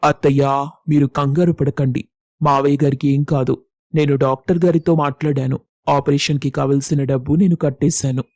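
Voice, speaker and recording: A man's voice: a little high, flat delivery, normal speed, a little mellow, no room sound, clean studio sound. A man voicing a kind, selfless young village helper in a moral story, earnest, reassuring and respectful. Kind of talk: dramatised moral story voice acting.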